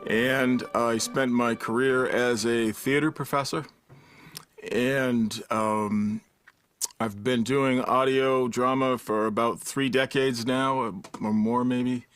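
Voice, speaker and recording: An older man from Massachusetts, casually introducing himself on a panel in a halting way. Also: mid-range voice, fairly even intonation, fairly bright tone, clear, resonant tone, no echo. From Massachusetts, United States. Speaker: theater professor, panelist.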